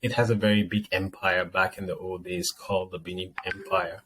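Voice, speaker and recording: A man's voice: deep voice, little pitch movement, a little fast, fairly bright tone, a little hiss or hum, poor audio. A man with a Nigerian accent answering as an interview guest, explaining things as he goes.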